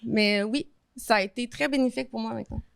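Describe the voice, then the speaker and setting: A woman's voice: on the high side, brisk, gravelly, clean tone, no echo, clean studio sound. Candid Québécoise woman in her thirties. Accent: Québécois French. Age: 30s. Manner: candid, expressive, colloquial storytelling. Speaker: guest. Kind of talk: casual podcast conversation.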